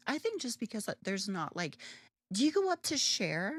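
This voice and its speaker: Brash woman w/ a deep alto voice, super animated, swears a lot, dry close mic. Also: normal speed, no echo. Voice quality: deeper alto voice. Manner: brash, joking, swears freely; animated, lively pitch. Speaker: podcast co-host. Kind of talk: casual chat.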